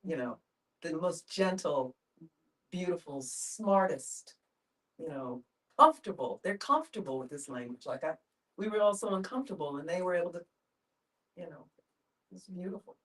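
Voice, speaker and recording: Female participant, earnest and halting, fairly low voice picked up from a distance. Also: expressive. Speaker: discussion participant. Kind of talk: casual heartfelt reflection. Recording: distant mic in group discussion.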